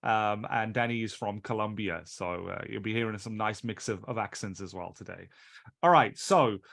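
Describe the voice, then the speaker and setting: A man's voice: lowish voice, normal speed, vocal fry, a bit bright. A British man hosting and teaching a live online training session over a video call, speaking in a chatty, loose way that often restarts its phrases.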